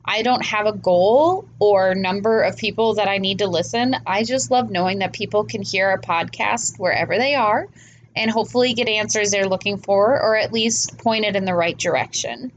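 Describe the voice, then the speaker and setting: A woman's voice: medium pitch, moderate pace, dull audio, a little hiss or hum. A woman hosting a solo podcast, talking in an earnest, chatty way with a stuffy nose from a cold. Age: adult parent. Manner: earnest, chatty, laughs at herself. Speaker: podcast host. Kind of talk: casual solo podcast talk.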